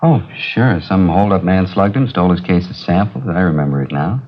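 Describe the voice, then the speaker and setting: A low-voiced, quick-talking male shopkeeper, indignant and evasive as he protests under police questioning. It is old radio drama acting on a dull recording. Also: expressive. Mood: feigned innocence. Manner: protesting, talkative.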